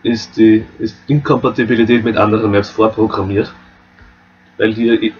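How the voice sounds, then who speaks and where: A man with an Austrian accent walking viewers through a game map editor, relaxed and explaining step by step. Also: lowish voice, normal speed, dull audio, slight background noise. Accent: Austrian German. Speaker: modding tutorial host. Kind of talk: screen-recorded software tutorial.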